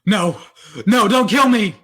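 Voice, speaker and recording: Man playing a bright-voiced, arch radio-thriller thief. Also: high voice, takes it slowly, slightly rough, full character voice. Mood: terrified. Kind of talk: 1940s radio thriller acting.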